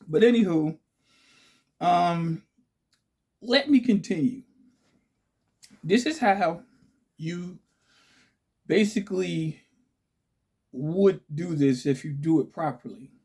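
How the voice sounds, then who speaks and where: A man hosting his own channel in a casual, chatty way, with a raspy voice, often checking in with "okay?" and "right?". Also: on the high side, lively intonation, slow, pauses often, darkish tone. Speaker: channel host. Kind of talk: casual direct-to-camera talk.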